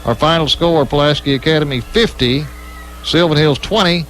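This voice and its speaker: A man's voice: fairly high pitch, moderate pace, fairly bright tone, low-quality recording. A man chatting casually as co-host of a live sports broadcast. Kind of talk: casual post-game sports chat. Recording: live broadcast with faint background noise.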